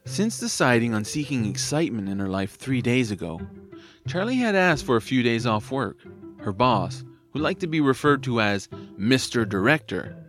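A man's voice: medium pitch, sing-song, normal speed, fairly bright tone. Male narrator, wry storyteller, mid-range voice, music bed behind him. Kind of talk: scripted audio drama narration.

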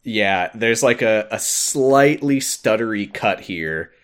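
A man with a fairly low voice and very animated intonation hosts a podcast. He recaps a video game casually and wryly, swearing as he goes. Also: slow. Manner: wry, swears casually, acts out quoted lines. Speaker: podcast host.

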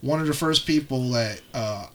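A man's voice: medium pitch, moderate pace, slight background noise. A man co-hosting a podcast, chatting informally with jokes and casual swearing. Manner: joking, profane, informal. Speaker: podcast co-host.

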